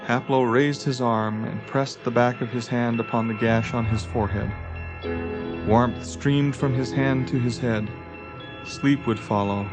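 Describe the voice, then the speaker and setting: A man reading scripted fantasy narration dramatically, as an audiobook narrator. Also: medium pitch. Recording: dull lo-fi tape transfer.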